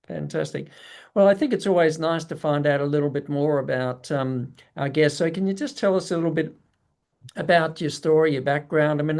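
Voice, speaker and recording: Guy hosting a call interview, lots of uhs and ums, slightly higher voice. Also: a little mellow. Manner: friendly, hesitant with many fillers. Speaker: host. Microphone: video call.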